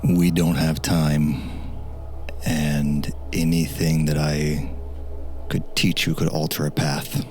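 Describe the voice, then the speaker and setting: Guy doing a weary army commander in an audio drama game, low voice, grave n terse, music underneath. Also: level tone, slow pace, darkish tone. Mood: grave, resolute. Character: solemn, weary male military commander named Magnus.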